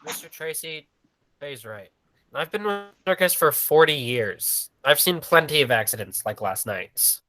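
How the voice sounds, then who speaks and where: Radio play circus old-timer amateur player: conciliatory older man, sharp tone, lively inflection. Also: mid-range voice, measured pace. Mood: reasoning. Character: conciliatory older male circus veteran, Mister Schill. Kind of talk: 1940s radio drama acting.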